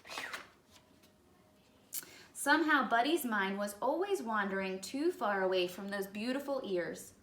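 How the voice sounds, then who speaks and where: A woman reads a children's picture book aloud in an expressive storytelling style. Her medium-pitched voice carries a clear room echo. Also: unhurried, pauses often, a little hiss or hum. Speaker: picture-book reader, educator. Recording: echoey room.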